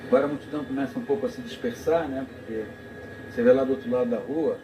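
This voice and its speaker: A male TV co-commentator making calm, brief remarks during a live broadcast. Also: mid-range voice, quick pace. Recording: TV audio recorded off the air from a distance in an echoey room, noisy.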